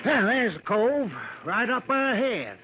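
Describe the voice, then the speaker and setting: An actor playing a folksy backwoods American driver who gossips grimly and deferentially, in a drawn-out vintage radio drama style. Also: male voice, very high-pitched, animated, full character voice. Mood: obliging. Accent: rural American. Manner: folksy, drawn-out storytelling with dialect grammar. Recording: muffled, noisy vintage radio broadcast.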